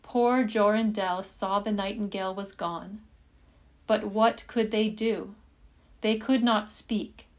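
A woman reads a fairytale as a storyteller. She takes it slowly and deliberately, with measured phrasing and a mid-range pitch, as in scripted storybook narration. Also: steady tone.

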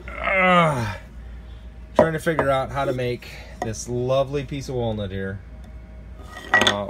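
A man in his thirties talks into a phone in a cluttered home workshop, stumbling over his words and poking fun at himself. His voice sits in an ordinary middle pitch, and a faint room noise runs underneath. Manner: rambling, halting, with many fillers and restarts; wry, self-mocking. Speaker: hobby woodworker. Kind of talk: casual self-deprecating workshop vlog. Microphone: phone camera held close.

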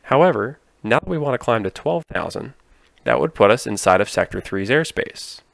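A man giving scripted explainer narration in a clear, instructive manner. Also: fairly low pitch, expressive, normal speed, a bit bright, dry recording. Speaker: narrator explaining air traffic control.

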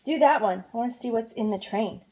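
A woman speaking from behind a phone camera at home, briskly coaxing and encouraging a child as he shows a book. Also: a little high, dark tone, slight background noise, poor audio. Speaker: parent filming a child's book review. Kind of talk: off-camera prompting.

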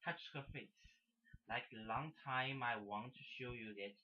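A young man from Shanghai, a native Mandarin speaker, rambles casually in halting, repetitive broken English with a Chinese accent. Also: medium pitch, a little hiss or hum. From Shanghai, China. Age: young adult. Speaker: amateur painter demonstrating his work. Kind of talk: casual rambling demonstration to camera. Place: small indoor room. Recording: dull lo-fi webcam sound.

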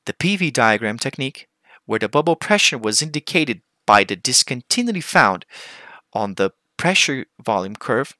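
A man giving a formal, scripted conference presentation as a researcher, with deliberate phrasing. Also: medium pitch, sing-song, sharp tone, dry recording.

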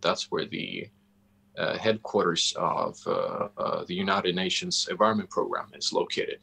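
A man in his forties with a Swedish accent, speaking haltingly and deliberately as he answers interview questions, often searching for words. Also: sits low, very animated, gravelly, pushed and bright, a little hiss or hum. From Sweden. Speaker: interview guest, coffee roastery founder. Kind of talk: interview answers on a video call. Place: indoor room, on a video call. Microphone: video call microphone.

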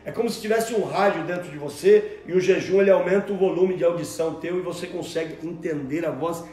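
Animated preacher man in an echoey room. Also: a little high. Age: 40s. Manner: animated, gestures a lot, repeats words while searching. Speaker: pastor. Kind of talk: home sermon teaching. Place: room at home, at a table with Bibles.

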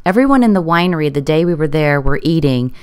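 A woman's voice: deep voice, animated, fast talker, clear, resonant tone, dry recording, studio quality. A woman reading scripted promotional narration.

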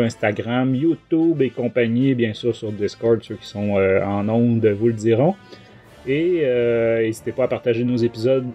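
Quebec man hosting a podcast, mellow voice. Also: medium pitch. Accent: Quebec French. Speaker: podcast host. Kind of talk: casual book review talk.

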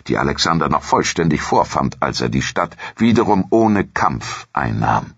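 A man reading a scripted history documentary in a measured, solemn manner. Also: very deep voice, vocal fry, a bit bright, husky, no room sound, muffled recording. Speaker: documentary narrator.

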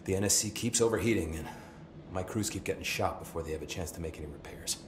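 Man as a deep-voiced, joking security chief, echo around him, game cutscene. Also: fast talker, slight background noise, natural voice. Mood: wry. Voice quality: chuckling, wisecracking. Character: young male security chief, wry, jokey. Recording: echoey room.

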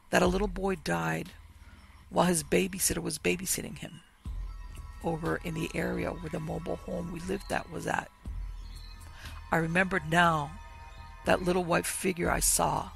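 Gravelly man reading ghost stories. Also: high voice, fairly even intonation, normal speed, pauses often, fairly bright tone, soft background music. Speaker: podcast host reading listener stories. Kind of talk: scripted narration of ghost stories.

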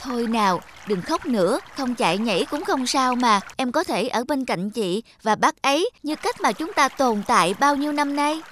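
A woman voicing a gentle, caring elder-sister lake spirit in a children's cartoon fable, soothing and reasoning. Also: high-pitched, lively intonation, normal speed, hardly any pauses, a little hiss or hum.

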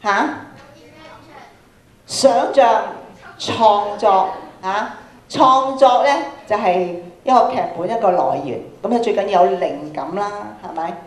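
A woman's voice: mid-range voice, slow pace. Echoey Hong Kong Cantonese woman lecturer. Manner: didactic, prompting with questions, repeats phrases for emphasis. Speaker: workshop speaker, radio drama trainer. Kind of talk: interactive lecture to students. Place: large school auditorium, indoors. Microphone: handheld microphone. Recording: echoey auditorium PA sound.

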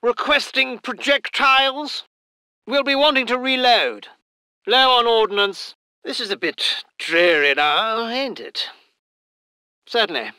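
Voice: medium pitch, slow pace, bright, forceful tone, clean tone, dry recording. An actor voicing a posh English military aviator in a video game, with clipped, old-fashioned understatement and a stiff upper lip. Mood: businesslike, then bored. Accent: upper-class English. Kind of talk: video game unit voice lines.

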